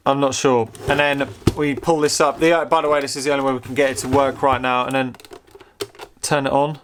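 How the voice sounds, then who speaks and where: A man giving casual, unscripted commentary as a host showing a gadget, rambling and halting with repeated words, curious and easily amused. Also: medium pitch, little pitch movement, background noise. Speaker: host of a vintage technology channel.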